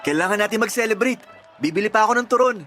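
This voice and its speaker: A man's voice: sits high, fast, a bit bright, soft background music. An actor playing a giddy, bashful young suitor in a radio drama, flustered and eager in his delivery. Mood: happy. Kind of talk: Tagalog radio drama acting.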